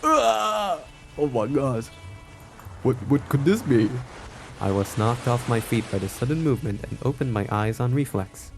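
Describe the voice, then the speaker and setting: A man's voice: medium pitch, normal speed, a little mellow, soft background music. A man streaming a visual novel, reading the narration aloud expressively with playful interjections. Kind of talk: expressive read-aloud narration with live reactions.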